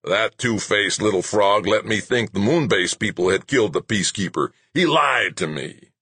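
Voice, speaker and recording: A man's voice: lowish voice, moderate pace, a bit bright, no echo, pitched above the performer's own voice. Man acting out a furious, bellowing media boss. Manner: bellowing, sarcastic, sweary. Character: furious bullying middle-aged male media boss. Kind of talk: audiobook character dialogue.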